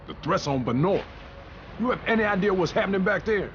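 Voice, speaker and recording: An actor playing an angry, defiant American captive, loud and indignant with streetwise swearing. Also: male voice, sits high, animated, fairly quick, a little hiss or hum. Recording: muffled game audio under loud music. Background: loud music.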